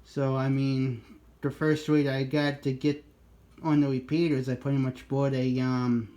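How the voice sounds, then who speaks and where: A man with a mid-range voice talks casually as a radio hobbyist on a two-way exchange. His delivery is halting, and he often interrupts himself and starts again. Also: medium pitch, dark tone, lo-fi sound. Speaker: amateur radio operator.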